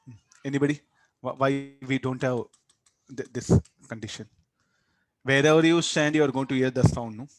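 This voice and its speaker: A man's voice: mid-range voice, fairly even intonation, normal speed, pauses often, no room sound. A man with a South Indian accent teaching physics as an interactive lecture, repeating words and tagging sentences with short questions.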